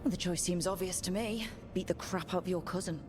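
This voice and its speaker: A woman playing a steady, caring adventurer in a video game, speaking in an earnest, frank and reasoning way. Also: lowish voice, brisk, over music, lightly acted. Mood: wry, encouraging. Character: steady, caring, frank female adventurer talking a companion through pain. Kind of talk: dramatic video game voice acting.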